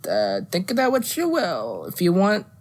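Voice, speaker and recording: A woman's voice: lowish voice, wildly swooping pitch, moderate pace. A woman co-hosting a podcast, chatting casually about movies in a blunt way and swearing freely. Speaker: podcast co-host.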